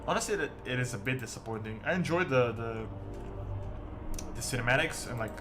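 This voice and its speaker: A man from Serbia, chatting casually to viewers as a game streamer in a halting, rambling way peppered with "like". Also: mid-range voice, lively intonation. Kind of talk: casual livestream chat with viewers. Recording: music behind the voice. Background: music.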